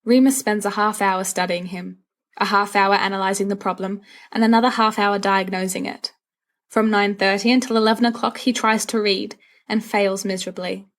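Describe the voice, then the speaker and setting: A woman's voice: mid-range voice, fairly even intonation, normal speed. A woman narrating a story from a script, reading expressively as for an audiobook. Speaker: audiobook reader of fan fiction.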